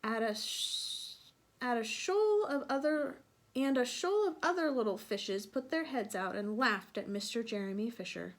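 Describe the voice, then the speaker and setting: Woman w a highish voice reading a kids picture book, expressive, talks to the little listeners. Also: measured pace, slight background noise. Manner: expressive, with sound-effect emphasis and questions to listeners. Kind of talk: storybook read-aloud with asides to young listeners.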